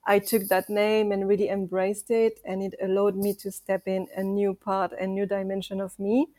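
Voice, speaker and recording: A woman's voice: medium pitch, monotone, normal speed, dark tone, no echo. A woman in her thirties from France with a French accent, a podcast guest who talks reflectively and earnestly, sometimes searching for words. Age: 30s. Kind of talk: casual conversational interview.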